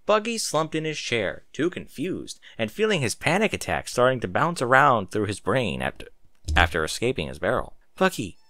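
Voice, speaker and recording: A man reading a story aloud as narrator, in an expressive style with candid asides. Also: medium pitch, moderate pace, no echo, clean studio sound. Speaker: fan fiction narrator.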